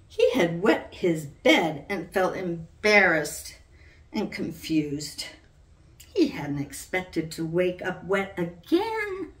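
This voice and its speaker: An older woman, around her sixties, reading a children's book aloud as its author with deliberate, dramatic storytelling. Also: fairly low pitch, a bit bright. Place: living room at home, indoors. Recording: roomy, distant from the mic.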